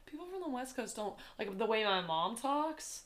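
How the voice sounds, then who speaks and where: A woman's voice: fairly high pitch, sing-song, normal speed, a bit bright, slight background noise. A young woman chatting casually as a podcast co-host, full of "like" and playful teasing. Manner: filler-heavy, lots of like, playful teasing. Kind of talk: casual chat.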